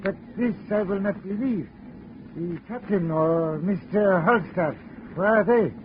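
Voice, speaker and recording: High-voiced, flustered shipowner. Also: male voice, crowd noise. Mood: disbelieving, confused. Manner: halting, formal, stilted English. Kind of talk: 1950s radio drama acting. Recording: muffled, noisy 1950 radio recording.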